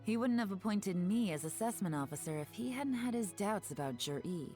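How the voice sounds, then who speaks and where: An actress voicing a cool, composed female spy agent who speaks calmly and dryly in a video game. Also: medium pitch, brisk, soft background music, lightly acted. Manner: calm, dry, quietly commanding.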